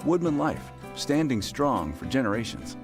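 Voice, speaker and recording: Lively insurance ad announcer. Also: male voice, mid-range voice, moderate pace, over music. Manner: smooth, reassuring. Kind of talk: scripted commercial voice-over.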